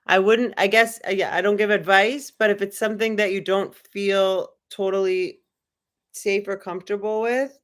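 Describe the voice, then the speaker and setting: A woman's voice: mid-range voice, fairly even intonation, moderate pace. A young woman hosting a casual livestream, rambling and musing in a loose, spiritual way with many filler words. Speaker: livestream host. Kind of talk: casual livestream chat, reading viewer comments.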